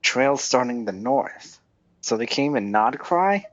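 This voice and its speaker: A male streamer reacts casually to a game. His voice swings in pitch, and the sound is very dry and a bit dull, from a close lo-fi microphone. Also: animated, slow pace, no echo.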